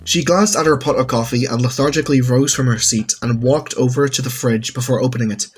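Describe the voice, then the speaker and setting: A male narrator reads a scripted story in a husky voice. Music plays softly beneath him as he tells it. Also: medium pitch, brisk. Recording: music under the voice. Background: background music.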